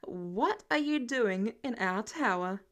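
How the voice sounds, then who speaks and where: A woman's voice: a little high, animated, slow, fairly bright tone. Woman as breezy male genius billionaire, shrewd, showy, lightly friendly, story narration. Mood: pointed.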